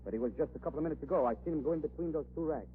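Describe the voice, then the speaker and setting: An actor playing a cowardly, excitable crook in a 1940s radio crime drama. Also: male voice, on the high side, rapid-fire, natural voice. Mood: uneasy, suspicious. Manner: nervous, excitable. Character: jumpy, cowardly male crook, fur shop henchman. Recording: scratchy old radio broadcast, muffled, hum.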